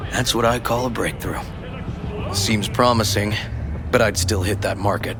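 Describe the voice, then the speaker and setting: A man's voice: on the low side, normal speed, background noise, natural voice. A man playing a seasoned, wry police detective in a video game, with a dry, colloquial way of talking. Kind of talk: video game dialogue acting.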